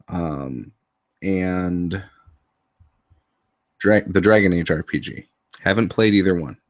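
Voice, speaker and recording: A man with a hoarse voice from being sick, talking casually to camera about tabletop games, rambling with many ums and uhs. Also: low voice, no room sound, studio quality. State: hoarse from illness. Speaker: tabletop RPG YouTuber.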